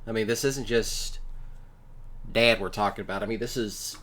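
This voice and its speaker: A man improvising a casual boyfriend roleplay, talking in a folksy, halting way with drawn-out pauses. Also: fairly low pitch, steady tone, fairly bright tone. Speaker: ASMR roleplay performer.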